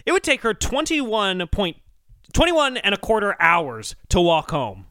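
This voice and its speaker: Bright, high-voiced, animated man. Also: a little slow, dry recording, clean studio sound. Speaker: podcast co-host. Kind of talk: comic song-critique banter.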